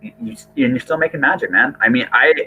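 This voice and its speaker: A man's voice: medium pitch, big pitch swings, a little fast, gravelly, sharp tone, muffled recording, a little hiss or hum. A middle-aged man hosting a casual podcast conversation, earnest and rambling, often repeating his words. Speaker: podcast host.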